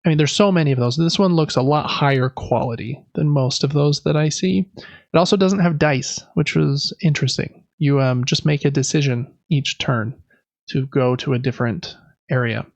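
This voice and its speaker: A man's voice: on the high side, moderate pace, creaky, no room sound. A man hosting a casual board game podcast, talking in an informal, conversational, meandering way. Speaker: podcast host.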